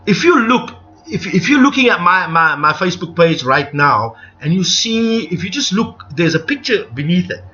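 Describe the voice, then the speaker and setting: A man's voice: high-pitched, very animated, normal speed, bright, forceful tone, a little hiss or hum. A middle-aged South African man with a South African accent, hosting a live stream with rambling, opinionated commentary full of restarts and asides. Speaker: livestream host. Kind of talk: unscripted live commentary to viewers. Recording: dull lo-fi stream with faint music.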